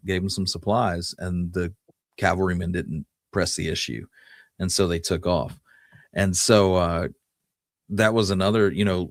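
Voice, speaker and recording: A man co-hosting a podcast, telling a history story conversationally with folksy, halting phrasing. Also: low-pitched, no room sound, studio quality.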